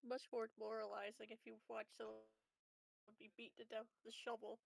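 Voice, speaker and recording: A woman's voice: fairly high pitch, completely flat delivery, fairly quick, creaky, no echo. A woman chatting casually as a player in a tabletop roleplaying game.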